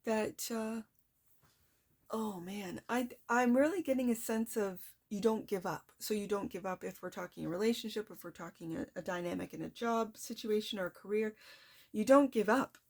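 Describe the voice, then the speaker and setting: A soft-spoken woman hosting a casual tarot reading, talking straight to her viewers in a chatty, reflective way. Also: medium pitch, normal speed. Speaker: tarot reader.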